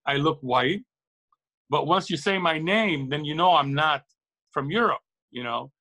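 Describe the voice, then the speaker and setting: A man's voice: fairly high pitch, a little slow, bright, forceful tone. A middle-aged man from Lebanon speaking earnestly and reflectively as an interview guest, often repeating and rephrasing words for emphasis. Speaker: interview guest, record shop owner. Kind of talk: reflective, opinionated interview talk.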